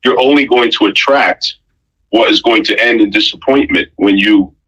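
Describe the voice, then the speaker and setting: A man's voice: lowish voice, moderate pace, a bit bright, husky, highs cut off. A man giving an opinionated, conversational monologue, blunt and emphatic, with rhetorical questions and pointed repetition. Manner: blunt, profane slang.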